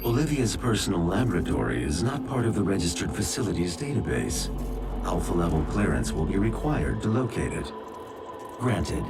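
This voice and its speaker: An actor playing a helpful, composed artificial intelligence guide in a video game, speaking precisely and formally to give information. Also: male voice, low-pitched, very animated, moderate pace. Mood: formal, neutral. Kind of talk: video game cutscene acting. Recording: distant voice under game music.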